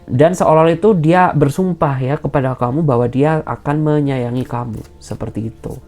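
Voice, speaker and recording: Tarot reader, female, deep and mellow tone, direct personal advice, filler "ya" after each phrase. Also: moderate pace, soft background music. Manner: tags nearly every phrase with a soft "ya"; advising and reassuring in a direct, personal way. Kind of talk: general tarot reading addressed to the viewer.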